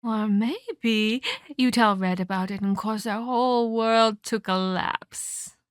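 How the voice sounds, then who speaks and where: A woman's voice: a little high, steady tone, takes it slowly, smooth, no room sound, clean studio sound. Woman acting out a haughty, sadistic ancient vampire villainess. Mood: gleeful taunting. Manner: haughty, taunting, scolding, formal diction. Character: sadistic, scheming twelve-hundred-year-old female vampire villain. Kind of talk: ASMR roleplay monologue.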